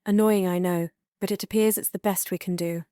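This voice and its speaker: A woman's voice: lowish voice, steady tone, a little fast, warm tone, clear, resonant tone, no room sound, clean studio sound, close to an everyday voice. A woman playing a composed, reassuring TV news anchor in a disaster drama, talking conversationally as if live on air. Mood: wry, businesslike. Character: composed female TV news anchor during a catastrophe.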